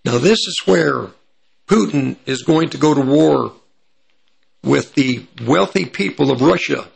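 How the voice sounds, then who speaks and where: A man with a slightly raised pitch and a strongly gravelly, fry-laden voice, hoarse from hay fever. He gives prophecy commentary, reading passages from an article and breaking off to correct slips. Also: animated. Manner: pauses to correct himself mid-sentence.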